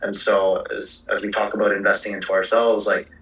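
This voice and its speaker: A man's voice: lowish voice, flat delivery, brisk, a bit bright. A young man from South Korea speaking earnestly as a panelist in a discussion. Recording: echoey, distant, lo-fi.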